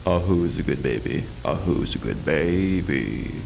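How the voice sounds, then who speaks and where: A man's voice: very deep voice, expressive, measured pace, gravelly, darkish tone, slight background noise, full character voice. A man voicing a shrimp-crazed cartoon superhero dad in a parody, exclamatory and unhinged beneath a jolly manner. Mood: doting.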